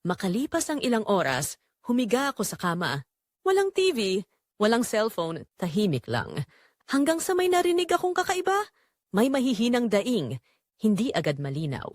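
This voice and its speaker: A woman's voice: fairly high pitch, wildly swooping pitch, moderate pace, no echo, studio quality. A woman narrating a scripted first-person audio drama in short, dramatic, suggestive phrases.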